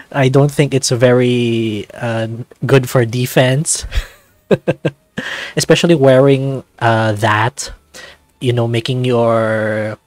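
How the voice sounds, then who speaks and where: A man's voice: medium pitch, expressive, drawn-out words, dry recording. A laid-back young dragon chats casually and cheekily with his roommate, as a man voices him. The voice sits in an everyday middle register with a dry sound. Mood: amused.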